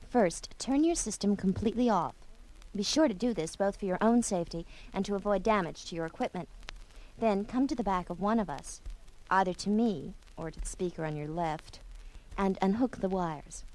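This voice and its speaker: A woman's voice: medium pitch, moderate pace, a little mellow, close to an everyday voice, low-quality recording. A woman voicing a quarrelsome female stereo speaker in a comedy record sketch, nagging and exasperated. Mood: instructive.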